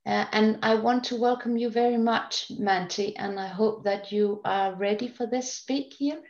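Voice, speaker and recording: A woman moderating a webinar, introducing the next speaker in a slow, halting way. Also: medium pitch, steady tone, darkish tone. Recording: echoey, dull-sounding room.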